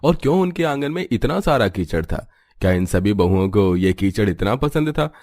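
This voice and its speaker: A man's voice: lowish voice, sing-song, normal speed, darkish tone, dry recording, clean studio sound. A man reading scripted narration for a moral story as its narrator.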